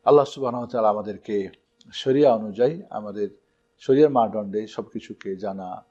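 Low, husky man teaching religion. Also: a little fast, mellow. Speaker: Islamic scholar answering listener questions. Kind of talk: religious question-and-answer talk. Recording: muffled, dull lo-fi recording.

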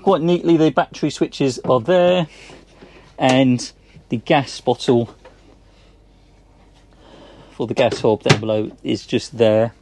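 A man in his forties with an English accent, casually walking through a boat for sale, chatty and relaxed, with frequent ums, recorded outdoors in a marina. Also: medium pitch, slow, long pauses, slight background noise. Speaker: boat sales presenter. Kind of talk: casual walkthrough tour.

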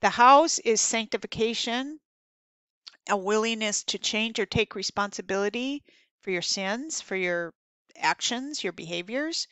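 A woman speaks slowly and earnestly as she interprets a dream for believers, stopping now and then to read verses aloud. Her voice is smooth and clear, recorded close and dry with no room around it. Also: on the high side, a bit bright, dry recording. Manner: earnest, reads scripture aloud, hesitant fillers. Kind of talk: devotional dream interpretation over slides.

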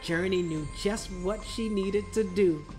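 A man reading a children's story aloud as narrator, dramatic and deliberate, drawing out key words. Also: high-pitched. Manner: expressive, deliberate phrasing with drawn-out words. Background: music.

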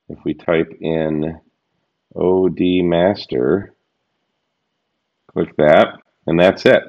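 A man in his thirties with a low voice talks through radio gear in a relaxed, rambling way, full of fillers and restarts. He sits in a home office, recorded by a desk camera at a medium distance. The sound is slightly dull and lo-fi. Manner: chatty, loose, lots of fillers and restarts. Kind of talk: casual product walkthrough. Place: home office room, indoors.

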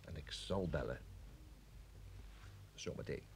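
An actor playing a cold, methodical interrogator in a radio drama, with clipped, husky questions that echo back each answer. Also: male voice, extremely low pitch, slow, noisy background, full character voice. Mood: cool, doubting. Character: cold, methodical male security interrogator, unhurried and sceptical.

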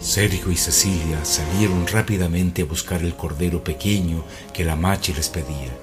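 A man's voice: low-pitched, normal speed, music in the background, natural voice. A man, narrating an eerie rural tale as the scripted storyteller of a radio drama. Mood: storytelling.

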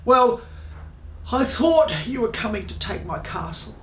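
A man playing a powerful, blustering king in a storybook, loud, indignant and defensive. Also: very high-pitched, big pitch swings, unhurried, a little hiss or hum, pitched above the performer's own voice, full character voice. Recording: roomy, echoing sound.

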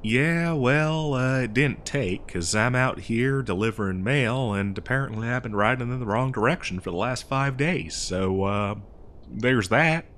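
A man's voice: mid-range voice, lively intonation, fairly bright tone, a little hiss or hum. A man playing a dim, good-natured cowboy mail rider in a comedy roleplay, rambling hesitantly in an American cowboy drawl. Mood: chatty, sheepish. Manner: rambling, hesitant, folksy, slow-witted. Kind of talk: improvised comedy audio roleplay.

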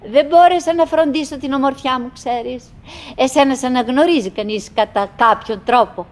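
A woman's voice: high voice, natural voice. An actress playing a tender, wistful, chattering wife who coaxes her silent husband in declamatory stage style. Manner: declamatory, coaxing, with dramatic swings. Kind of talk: theatrical stage acting. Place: theatre stage, live recording.

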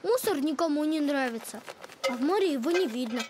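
A woman voicing a friendly, cheerful child of the tundra in an animated series. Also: sits high, fairly quick, noisy background. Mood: friendly, explaining. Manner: cheerful, youthful. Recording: music under the voice.

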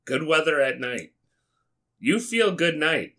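An American man hosting a comedic read-aloud, dropping wry, sweary, deadpan asides in a casual way. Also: on the high side, takes it slowly, background noise. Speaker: YouTube host. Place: small indoor studio with foam panels.

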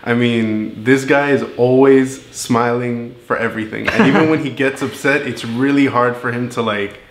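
A young man hosts a relaxed couch interview, his voice at an ordinary pitch. He reacts to his guest with friendly encouragement. The bare room gives his voice a clear echo. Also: normal speed. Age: young adult. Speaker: host. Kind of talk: casual interview hosting. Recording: echoey room.

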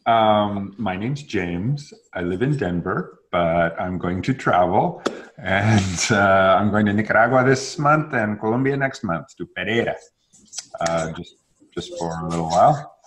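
A man in his fifties runs a friendly English and Spanish practice session from a home room. His voice sits slightly low. The video-call audio carries a faint hiss underneath. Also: unhurried. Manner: mixes English and Spanish, relaxed, self-correcting. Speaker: language exchange facilitator. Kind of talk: casual bilingual tutoring on a video call.

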